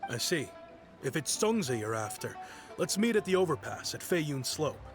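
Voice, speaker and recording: An actor playing a prosperous, nostalgic businessman in a video game, speaking in a measured, reflective way. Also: on the high side, sing-song. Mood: warm, nostalgic. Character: prosperous, nostalgic adult businessman, loyal and generous. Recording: music behind the voice.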